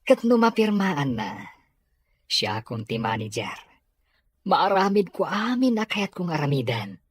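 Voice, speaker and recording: A man with a Filipino accent playing a scheming, hot-tempered, money-hungry schemer in a radio drama, with a husky voice. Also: high-pitched, sing-song, a little slow, exaggerated voice. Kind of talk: Ilocano radio drama acting.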